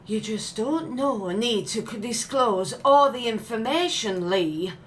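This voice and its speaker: A woman playing a prim, proud mature businesswoman in an audio comedy, genteel, a little hesitant and quick to scold. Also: on the high side, expressive. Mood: defensive. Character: prim, proud mature businesswoman, easily embarrassed by her friend. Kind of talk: family comedy audio play acting.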